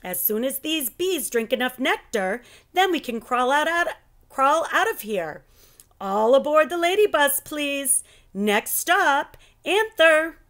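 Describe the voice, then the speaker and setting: A woman's voice: high voice, big pitch swings, slow, fairly bright tone, voice pushed up, heavily acted. A woman voicing a cheerful, adventurous, unflappable schoolteacher with a breezy, commanding manner in a storybook. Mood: gleeful.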